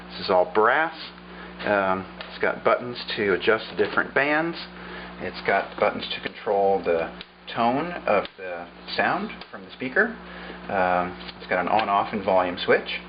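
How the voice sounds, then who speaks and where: A man casually narrating a show-and-tell about a vintage radio he restored, relaxed and pausing often with "uh" and "um". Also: fairly low pitch, highs cut off, slight background noise. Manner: relaxed, with frequent "uh" and "um".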